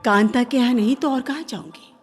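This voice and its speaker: A woman's voice: on the high side, brisk, faint music behind, lightly acted. An actress playing a proud, flustered young woman in a radio play, speaking theatrically with hesitant, repeated words. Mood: bossy, evasive. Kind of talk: radio play acting in Hindi.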